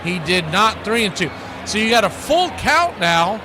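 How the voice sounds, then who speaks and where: An American man calling baseball play-by-play, mixing pitch calls with casual chat. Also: sing-song, a little slow. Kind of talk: live sports commentary with casual chat. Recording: loud background noise.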